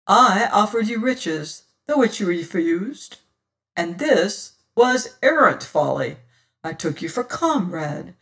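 A man's voice: sits high, fairly bright tone, recorded from a distance, exaggerated voice. A man reading an adventure story as a sly, self-assured pirate who taunts and lectures in archaic seafaring speech. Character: sly, scornful, silver-tongued pirate rogue, mocking and self-assured. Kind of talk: dramatised audiobook reading of an adventure tale.

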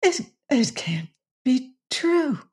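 A woman voicing a frail, wistful young woman of eighteen in a storybook, halting, whispery and tearful. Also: mid-range voice, a little mellow, natural voice. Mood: tearful, disbelieving.

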